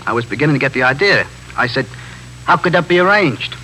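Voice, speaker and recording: A small-time street pusher in a 1950s radio drama. His bright, forceful voice races through slangy, self-justifying stories. The old recording carries a faint hum and hiss. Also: medium pitch, expressive, fast, poor audio. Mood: animated storytelling.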